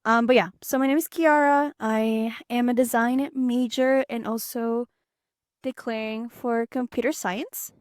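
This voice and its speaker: Chatty young woman, high voice. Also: steady tone, a little slow, dry recording, clean studio sound. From Peru. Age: young adult. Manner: chatty, many fillers like "um" and "like". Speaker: podcast co-host, student ambassador. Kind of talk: casual chat.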